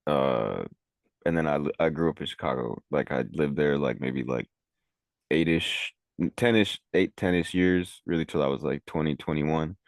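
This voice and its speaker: A man's voice: rumbling low, normal speed, no echo, clean studio sound. A young man from Venezuela, a rapper and engineer, chatting casually in an interview, rambling and slangy with lots of "like". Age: young adult. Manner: laid-back, rambling, heavy on "like" and slang.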